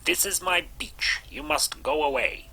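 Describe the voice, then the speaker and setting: A man voicing a cross, territorial ant lion in a storybook, giving bossy, drawn-out orders. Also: a little high, vocal fry, sharp tone, slight background noise, voice pushed up, full character voice.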